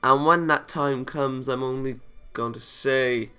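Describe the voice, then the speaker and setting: A man's voice: mid-range voice, steady tone, measured pace, bright, forceful tone, clean tone, faint music behind. A man playing a visual novel, reading the story aloud and adding casual reactions of his own. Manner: chuckles and comments between read lines. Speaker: video game let's player.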